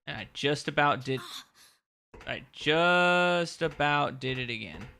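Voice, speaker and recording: A young man streams a horror game in casual, joking commentary, muttering to himself and swearing at the controls. His voice is bright and fairly high for a man. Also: lively intonation, slow pace, slight background noise. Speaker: gaming streamer. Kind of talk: casual let's-play commentary.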